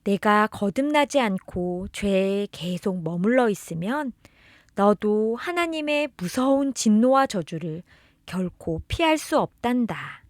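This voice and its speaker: A woman's voice: mid-range voice, animated, slow pace, no room sound, studio quality. A woman reading a scripted children's religious storybook, expressively addressing the listener as a beloved child. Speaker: storybook narrator reading children's catechism tales.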